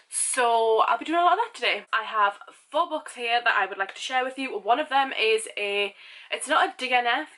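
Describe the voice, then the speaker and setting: A woman's voice: on the high side, bright, forceful tone. A young woman chatting casually about books she has read lately, sounding nasal and sniffly from a cold, rambling with self-mocking asides. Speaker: book vlogger. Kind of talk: casual book wrap-up chat.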